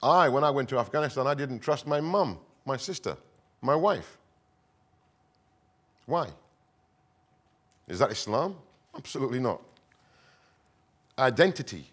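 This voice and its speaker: A middle-aged man from the north of England, speaking earnestly at a conference in short, deliberate phrases with emphatic repetition. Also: medium pitch, animated, husky. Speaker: conference speaker, counter-extremism expert. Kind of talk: conference presentation, semi-improvised public speaking.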